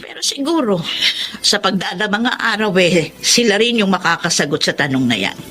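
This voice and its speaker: A woman's voice: medium pitch, big pitch swings, normal speed, fairly bright tone. An actress with a Filipino accent playing a caring, resigned elderly grandmother in a radio drama.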